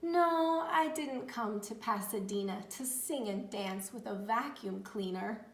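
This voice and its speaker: A woman's voice: medium pitch, measured pace, roomy sound, slight background noise, natural voice. A woman voicing a dreamy young female javelina who longs to be a ballerina, in a theatrical, sing-song storybook manner. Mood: worried. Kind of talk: children's storybook character voice.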